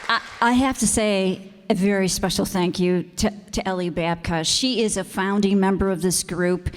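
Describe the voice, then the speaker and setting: A woman's voice: mid-range voice, moderate pace. Woman addressing an echoey hall. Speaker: host of a community radio theater group. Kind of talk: closing thank-you remarks to an audience. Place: community auditorium stage. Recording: echoey hall, picked up in reverberant room.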